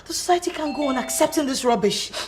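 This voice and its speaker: A woman's voice: sits high, animated, fast talker, noisy background. Woman playing an indignant scolding friend. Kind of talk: melodrama acting.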